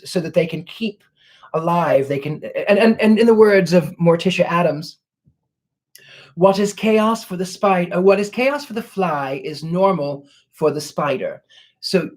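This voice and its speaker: A man's voice: on the high side, darkish tone. Genteel man reading live chat aloud. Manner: genteel and flowery, calls viewers darling; halting, many restarts and fillers. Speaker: psychic answering viewer questions. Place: seated at a wobbly table indoors.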